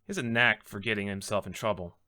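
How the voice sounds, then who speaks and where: A man's voice: fairly low pitch, fairly even intonation, fast talker, bright, forceful tone, voice pushed down. A man playing an earnest, nervous young rookie defense lawyer in a game script, hesitant in his delivery. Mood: earnest, reflective. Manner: hesitant, stammering.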